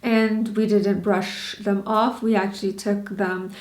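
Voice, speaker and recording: A woman's voice: mid-range voice, flat delivery, takes it slowly, darkish tone, echoey room. A woman in her thirties from Bangladesh and Canada, talking candidly in a personal vlog, earnest and questioning with small laughs. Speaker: vlogger.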